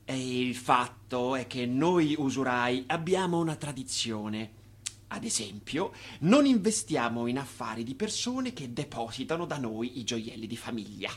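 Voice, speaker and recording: An actor in a radio play playing a shrewd moneylender, dry, measured and condescending. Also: male voice, medium pitch, expressive, slightly rough. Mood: dismissive. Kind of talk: theatrical radio play dialogue.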